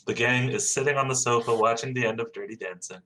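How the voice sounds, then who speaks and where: A man reading stage directions aloud at a table read in a lively, amused way. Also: mid-range voice, fairly quick, a little hiss or hum, rough recording.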